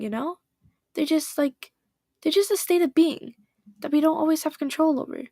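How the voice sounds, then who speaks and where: A woman voicing a poised, caring teenage girl who leads a club, speaking gently and reassuringly, with a slightly hoarse voice. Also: high-pitched, no room sound, studio quality. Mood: earnest.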